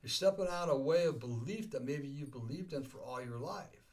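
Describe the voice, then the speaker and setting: A man's voice: medium pitch, moderate pace. A man in his sixties hosting a life coaching podcast, talking casually and often repeating words and checking "okay?". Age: 60s.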